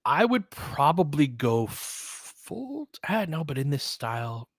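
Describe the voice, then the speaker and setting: Male play-by-play host for basketball. Conversational, thinks out loud, breaks down the action, recorded dry. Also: fairly high pitch, big pitch swings, slow pace, no echo. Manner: conversational, thinking aloud, analytical. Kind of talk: live basketball commentary with casual asides.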